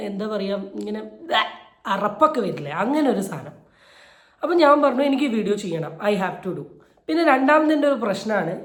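A woman delivers opinion commentary in an indignant, emphatic way. She repeats phrases for stress, her mid-pitched voice ringing in a roomy, echoey space. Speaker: YouTube commentator. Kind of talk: opinion commentary on a viral video.

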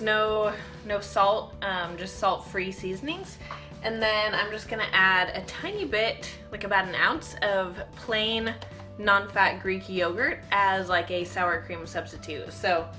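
A woman's voice: medium pitch, pushed and bright. A woman in her thirties talking informally to camera as a fitness vlogger, chatty and thinking aloud as she demonstrates things in a home kitchen. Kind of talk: casual chat to camera, product demonstration.

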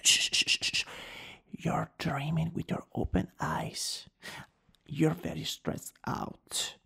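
A man acts out a soothing country-boy lover with an Italian accent for ASMR. The voice is a husky, crackling whisper that moves slowly and hesitantly. He murmurs intimately, with teasing tenderness. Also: on the high side, a bit bright, full character voice. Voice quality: breathy, raspy whisper. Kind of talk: whispered ASMR improvised roleplay.

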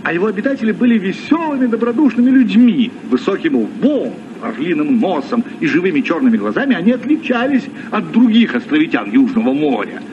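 An actor playing a learned, genial sea captain, telling travel tales expressively in a radio play. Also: male voice, high-pitched, sing-song, fairly quick, hardly any pauses. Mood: genial, absorbed. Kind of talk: Soviet radio play storytelling. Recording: muffled, noisy old radio recording.

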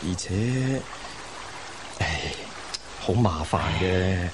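Sighing low-voiced scholar-official. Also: male voice, lively intonation, drawn-out words, noisy background, heavily acted. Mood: troubled, earnest. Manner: sighing, earnest, formal. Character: remorseful lovesick scholar-official of old China. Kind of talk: Cantonese radio drama acting.

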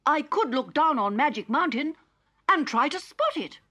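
A woman voicing an eager, excited little male robot in a children's story. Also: moderate pace, dull audio. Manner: high, eager and pleading.

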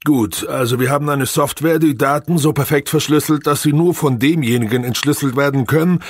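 A man voicing a probing, deliberate male company chairman in an audiobook. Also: on the high side, no echo, studio quality, voice pushed up, lightly acted. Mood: questioning.

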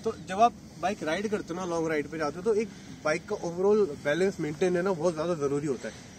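Man in his 30s, fairly high voice, chatty demo, outdoor lakeshore, faint background noise. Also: fast, darkish tone. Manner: chatty, explains step by step. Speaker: motorcycle vlogger. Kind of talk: casual product demo.

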